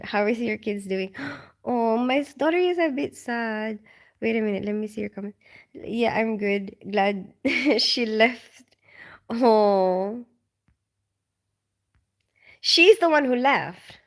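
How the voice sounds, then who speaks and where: A woman from the Philippines hosting a casual live stream at home at night. She reads viewer comments aloud and chats with lots of ums and small laughs, and she sounds tired and sleepy. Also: a little high.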